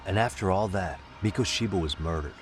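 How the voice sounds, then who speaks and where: Guy acting a calm low voiced detective, politely pushy, game cutscene with music under it. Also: close to an everyday voice. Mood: grave, insistent. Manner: measured, reasoning, politely insistent. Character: calm, persuasive male detective pressing for information. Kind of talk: dramatic game cutscene dialogue.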